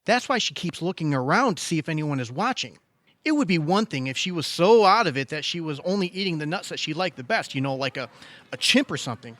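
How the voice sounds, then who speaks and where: An actor playing an obsessive, aggrieved younger brother in a comedic audio play, exasperated and insistent. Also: male voice, a little high, big pitch swings, quick pace, a bit bright, no echo. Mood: insistent, agitated. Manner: exasperated, insistent, leading questions like a cross-examination. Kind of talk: comedic audio theater dialogue.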